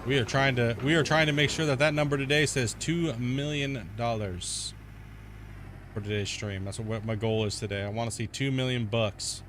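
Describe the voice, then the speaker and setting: A man's voice: mid-range voice, moderate pace, a bit bright, a little hiss or hum. Laid-back rambling male game streamer. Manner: rambling, laid-back, heavy use of "like" and "dude". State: sunburnt and itchy. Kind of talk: casual gameplay commentary.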